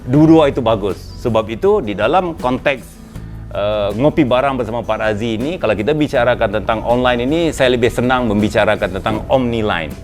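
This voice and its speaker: A man's voice: fairly quick, faint music behind. A man with a Malay accent hosting a casual motivational business talk, chatting with frequent fillers and sudden emphatic rises. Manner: conversational, with frequent fillers and pitch jumps for emphasis. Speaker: host. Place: indoor room with a small group present.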